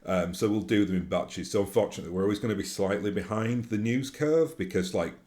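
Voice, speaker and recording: Deep-voiced Yorkshire man, rambling chat. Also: fast talker, mellow. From Yorkshire, England. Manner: rambling, with many fillers and restarts. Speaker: YouTube host. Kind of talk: casual solo monologue.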